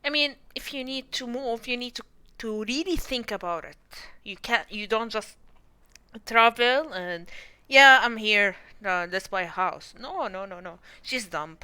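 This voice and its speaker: A woman's voice: on the high side, unhurried, bright, forceful tone, clean tone. A woman streamer chatting casually and rambling over her gameplay, often correcting herself mid-sentence. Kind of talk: casual game stream commentary.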